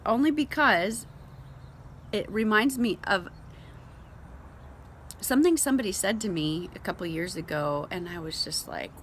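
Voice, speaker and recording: A woman's voice: medium pitch, unhurried, pauses often, slight background noise. A woman giving an informal devotional talk in a conversational, emphatic way, as host of a Bible reading show. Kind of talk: casual devotional talk with scripture read aloud.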